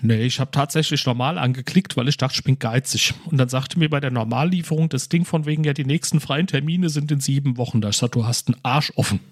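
A man's voice: mid-range voice, fast talker, hardly any pauses, slightly rough, dry recording, clean studio sound. A man chatting casually as a podcast co-host, telling anecdotes in a colloquial, wry way.

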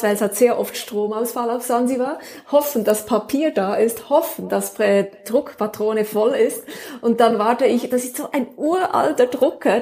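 A woman's voice: a little high, warm tone. A Swiss woman with a Swiss German accent, a crime author chatting as a guest in a casual podcast talk. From Switzerland.

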